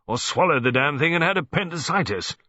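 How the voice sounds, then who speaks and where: A man's voice: a little high, wildly swooping pitch, moderate pace, bright, forceful tone, dry recording, pitched above the performer's own voice, full character voice. Man doing a roaring, blustering old colonel. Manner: roaring and grumbling. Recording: dull, dry lo-fi recording.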